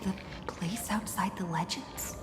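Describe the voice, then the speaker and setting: A woman acts a lost, guarded game character, speaking slowly in a mid-pitched voice. Her hushed words hang in a long echoing reverb over music. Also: natural voice. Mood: uncertain. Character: wary, lost woman in a strange place.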